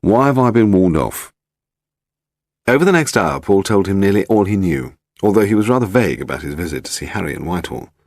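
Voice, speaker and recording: A man's voice: low-pitched, very animated, fast, few pauses, a little mellow, no room sound. A man with an English accent reading a scripted mystery story as an audiobook narrator.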